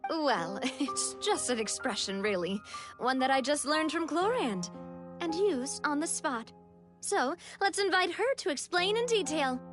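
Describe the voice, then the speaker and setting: A woman's voice: high-pitched, animated, a little slow, over music. A woman voicing a showy, cheerful young mistress of ceremonies in a video game, playful and wordy. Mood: bubbly, rambling.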